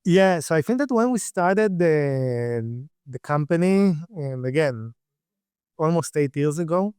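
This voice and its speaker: A man's voice: fairly high pitch, a little slow, smooth, dry recording, studio quality. Israeli-accented man, thirties, easygoing guest, clean resonant voice. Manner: relaxed, fillers and restarts, laughs lightly. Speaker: guest, startup CEO. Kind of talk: casual interview talk.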